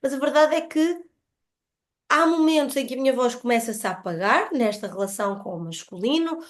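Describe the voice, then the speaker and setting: Female podcast guest, European Portuguese accent, fairly high pitch, earnest explanatory delivery in reflective conversation. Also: animated, brisk.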